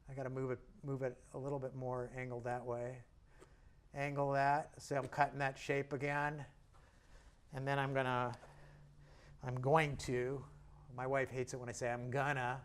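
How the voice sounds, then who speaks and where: A man teaching a painting lesson in a casual, joking way, talking as he works and steps back to look, with a slightly hoarse voice. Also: mid-range voice, level tone, moderate pace, pauses often. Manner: chatty, self-deprecating and jokey while working; talks while painting and stepping back from the canvas. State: slightly hoarse, clearing his throat. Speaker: painting instructor.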